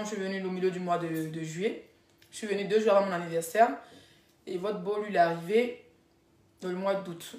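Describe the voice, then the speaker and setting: A woman's voice: medium pitch, a little mellow. A woman telling stories casually to followers on a live stream, addressing them directly and repeating herself for emphasis. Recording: echoey room.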